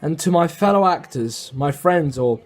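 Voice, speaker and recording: A man's voice: on the high side, lively intonation, moderate pace, background noise. A man who makes amateur documentaries, speaking as a narrator and casual interviewer. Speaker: documentary maker and amateur pantomime performer.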